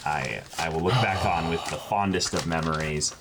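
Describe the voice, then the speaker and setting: A man chatting casually and reading letters aloud as co-host of an unboxing, halting when he ad-libs and expressive when he reads. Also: extremely low pitch. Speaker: co-host of a reaction channel. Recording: faint background noise.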